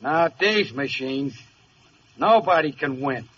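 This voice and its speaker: An actor playing an eager, dim, flattering gangster's henchman in an old radio comedy. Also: a little high, slow. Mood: eager, earnest. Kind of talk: 1940s radio comedy acting. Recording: muffled, faintly noisy old radio recording.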